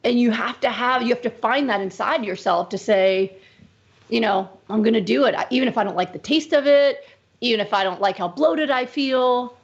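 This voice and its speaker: A woman doctor being interviewed, talking in an emphatic, animated way. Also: fairly high pitch, moderate pace, lo-fi sound. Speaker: medical doctor, guest. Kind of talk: conversational interview.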